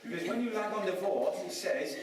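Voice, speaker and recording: A man's voice: high voice, lively intonation, fairly quick, crowd noise. A Welsh-raised man giving a chatty, self-mocking talk to a live audience and asking them questions. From Wales. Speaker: speaker at a property event. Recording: echoey room, mic at a distance.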